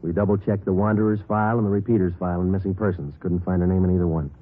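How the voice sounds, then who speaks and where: An actor playing a terse police detective, narrating and talking in a clipped, matter-of-fact 1940s radio drama style. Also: male voice, low-pitched, steady tone, racing. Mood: businesslike. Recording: dull vintage 1940s radio recording.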